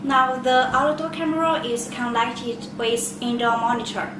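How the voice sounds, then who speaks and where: Echoey, distant, higher-voiced woman presenting a product. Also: level tone, unhurried. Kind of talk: product demonstration, step-by-step explanation. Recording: echoey room, distant mic, loud noise.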